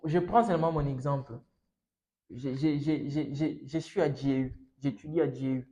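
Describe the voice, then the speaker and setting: A young man from Congo with a Congolese French accent, a student guest discussing casually in an emphatic, halting, repetitive way. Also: mid-range voice, fairly even intonation, mellow, poor audio. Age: young adult.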